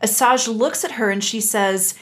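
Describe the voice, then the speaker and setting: A woman's voice: on the high side, normal speed, fairly bright tone. A woman co-hosting a podcast, chatting casually with an emphatic, playful and opinionated delivery. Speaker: podcast co-host. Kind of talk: casual opinionated chat about an animated series.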